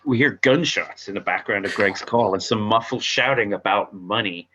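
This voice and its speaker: Male narrator for stage directions, bright and forceful yet lowish, captured on a muffled lo-fi mic. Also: normal speed, a little hiss or hum. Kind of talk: scripted narration at a table read.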